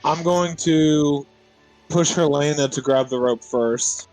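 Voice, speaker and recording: Slightly high-voiced man playing a tabletop game. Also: slow, a little mellow, no room sound, soft background music, rough recording. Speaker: tabletop roleplay player. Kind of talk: casual, excitable game chat.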